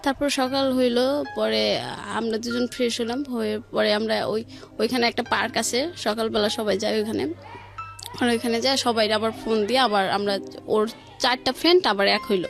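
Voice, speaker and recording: A woman's voice: a little high, fairly even intonation, normal speed, creaky. A woman with a Bangladeshi accent telling a personal story informally and colloquially, stringing events together with quoted dialogue. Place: radio studio. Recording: faint music behind. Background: faint music.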